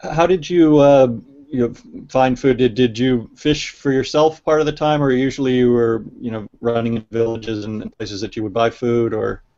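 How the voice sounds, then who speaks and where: A man hosting a video call interview, asking hesitant questions filled with pauses and fillers. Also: mid-range voice, fairly even intonation, mellow. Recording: dull lo-fi video call audio.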